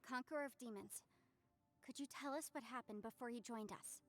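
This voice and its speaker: A woman's voice: a little high, a little fast, a bit bright, close to an everyday voice. A woman voicing a polite, inquisitive young legal advisor in a fantasy game, articulate and courteous as she reasons aloud. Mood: polite, attentive. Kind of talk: scripted fantasy game acting.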